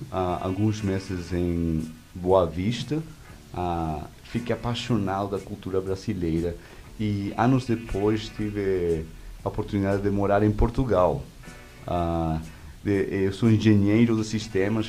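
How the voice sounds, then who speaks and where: Low-voiced Venezuelan man, halting delivery. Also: warm tone, soft background music. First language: Spanish. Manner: halting, searching for words in a second language. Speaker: interview guest, media executive. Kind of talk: conversational interview answers.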